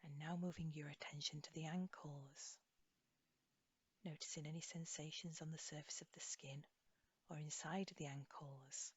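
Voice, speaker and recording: A woman leading a guided body scan meditation as an instructor, speaking softly and soothingly in an unhurried way, with phrases trailing off breathily. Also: sits low, steady tone, slightly rough. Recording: slightly dull, echoey room recording.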